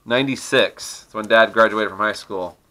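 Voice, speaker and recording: A man's voice: lowish voice, moderate pace, sharp tone, low-quality recording. A middle-aged man chatting casually and teasing while opening trading card packs at his desk at home. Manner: chatty, teasing, laughs often. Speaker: host of a card-opening channel. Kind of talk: casual card-breaking commentary.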